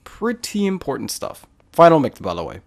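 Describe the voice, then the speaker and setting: A man's voice: fairly high pitch, wildly swooping pitch, moderate pace, vocal fry. A man giving casual, rambling let's play commentary as a gaming video maker. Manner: rambling, informal. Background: game music.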